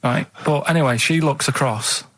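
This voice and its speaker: A Northern English man rambles through a casual anecdote in a dry, close-sounding recording. His voice is middling to slightly deep for conversation, delivered deadpan and matter-of-fact, with a defensive edge as the radio sidekick. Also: normal speed, no room sound.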